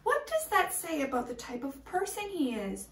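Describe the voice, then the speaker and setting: A woman's voice: extremely high pitch, wildly swooping pitch, normal speed, a bit bright, roomy sound, slight background noise. A woman teacher reading a storybook aloud expressively and prompting young listeners with questions.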